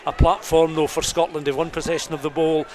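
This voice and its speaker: A man's voice: on the high side, little pitch movement, brisk, darkish tone. A man giving live radio rugby commentary as the play-by-play caller, describing the action in detail as it unfolds. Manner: descriptive, scene-setting, rising with the play. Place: commentary position at a rugby ground.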